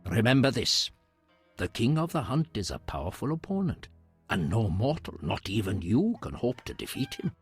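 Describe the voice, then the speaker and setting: Raspy, expressive hermit sage. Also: male voice, mid-range voice, soft background music, heavily acted. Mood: solemn, instructive. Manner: formal, measured storytelling. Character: solemn, reverent male hermit sage of fantasy lore. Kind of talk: video game voice acting.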